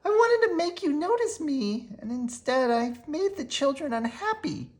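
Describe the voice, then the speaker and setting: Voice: sits high, sing-song, measured pace, pitched above the performer's own voice. A storyteller voicing a mischievous, emotional little witch girl from a children's storybook. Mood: tearful, pleading.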